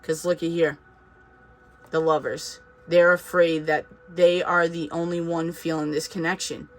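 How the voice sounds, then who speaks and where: A man's voice: on the high side, level tone, measured pace, a bit bright. Chatty man with a light Boston accent. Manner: chatty, reassuring, with frequent fillers and laughs. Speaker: tarot reader. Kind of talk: casual tarot reading monologue.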